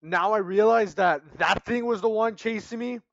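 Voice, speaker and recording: Young male gamer, hoarse from screaming, high-pitched, frightened muttering into a headset. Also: moderate pace, dry recording, dull audio. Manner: jumpy, panicky, screams and mutters. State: losing his voice, hoarse. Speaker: gaming YouTuber. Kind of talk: horror game let's play commentary. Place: small room at home, indoors. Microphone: headset mic.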